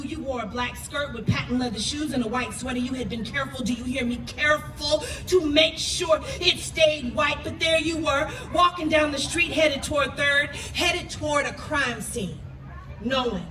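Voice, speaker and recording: High-voiced woman poet, echoey live venue. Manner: emphatic, deliberate, with repeated phrases. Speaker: spoken word poet. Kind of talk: dramatic spoken-word poetry performed live. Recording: echoey, noisy live venue, distant mic.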